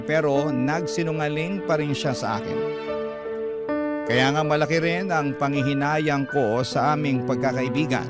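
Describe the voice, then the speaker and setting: A man narrating a listener's love-story letter in the first person, in a heartfelt, scripted storytelling way. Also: on the high side. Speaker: radio drama narrator reading a listener's letter. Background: loud background music.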